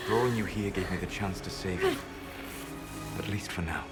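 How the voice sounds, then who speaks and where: Guy acting a low voiced ancient mage in a game, grave n weary, measured, music playing behind. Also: natural voice. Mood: regretful. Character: weary, solemn male ancient mage, regretful but resolute. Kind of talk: dramatic video game acting.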